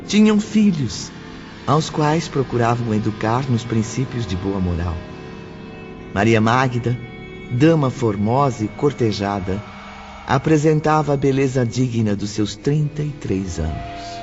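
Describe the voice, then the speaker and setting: A man narrating a scripted, dramatic audiobook in a solemn, deliberate way. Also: mid-range voice, animated. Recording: dull lo-fi audio under loud music. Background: music.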